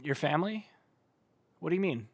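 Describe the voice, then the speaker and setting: A man's voice: fairly high pitch, expressive, moderate pace, no room sound. An actor playing a guarded young man in an audio drama, talking informally and hesitantly like a hurt but loyal friend. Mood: curious. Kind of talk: conversational audio drama acting.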